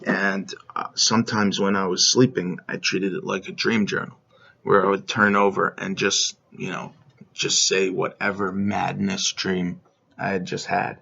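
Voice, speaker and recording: A man's voice: deep voice, level tone, a little slow, gravelly, pushed and bright, highs cut off. A man telling a story in a rambling, laid-back way over a call. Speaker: guest. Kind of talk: casual chat.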